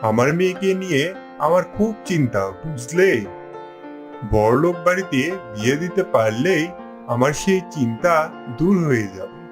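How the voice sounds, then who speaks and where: A man's voice: on the high side, animated, normal speed, lightly acted. A man playing an earnest, anxious father in a cartoon, arranging his daughter's wedding. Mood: worried, resolved. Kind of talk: cartoon dialogue acting. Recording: music behind the voice.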